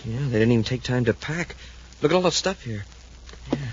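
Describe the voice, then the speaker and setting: An actor playing an eager, boyish space cadet sidekick, earnest and deferential, in a 1950s radio adventure serial. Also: male voice, medium pitch, animated, a little fast, close to an everyday voice. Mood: curious. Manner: earnest, wide-eyed, deferential. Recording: dull, noisy 1950s radio recording.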